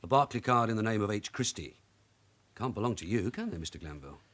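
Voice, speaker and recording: Wry London detective sergeant, lively pitch. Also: male voice, fast. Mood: firm, sardonic. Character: shrewd, dry veteran London police detective sergeant. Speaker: actor in a radio drama. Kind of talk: naturalistic British radio drama acting.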